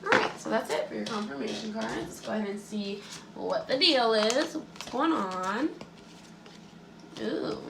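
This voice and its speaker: A woman giving a casual tarot reading to her viewers, chatty and relaxed with lots of fillers, sounding a little stuffy-nosed from allergies. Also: fairly high pitch, a little slow, vocal fry, echoey room, far from the mic, heavy background noise. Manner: chatty, relaxed, many likes and ums. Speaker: tarot reader and astrologer.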